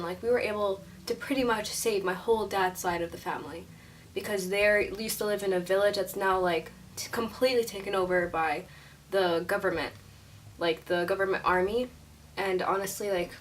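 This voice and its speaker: A young woman vlogger in her twenties talks casually to camera, her chat full of fillers and quick laughs. Her voice sits at a medium pitch and sounds a little distant from the camera mic. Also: a little hiss or hum. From Syria. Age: 20s. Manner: chatty, says like a lot, laughs often. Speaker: YouTuber.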